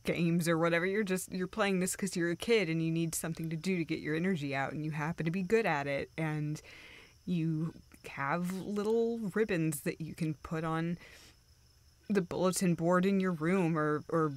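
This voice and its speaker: A woman playing a dejected, self-doubting young woman who confides haltingly in a friend, in an intimate, naturalistic audio roleplay. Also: fairly low pitch, lightly acted. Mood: resigned, self-deprecating. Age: young adult. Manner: halting, hesitant, self-deprecating.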